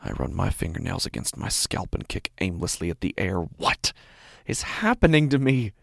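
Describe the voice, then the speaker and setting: A man playing an anguished, guilt-ridden father in a halting, brooding horror monologue. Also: sits low, very animated, gravelly, no echo, clean studio sound, full character voice. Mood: uneasy, brooding, then agitated, angry. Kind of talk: first-person horror drama monologue. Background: prominent music.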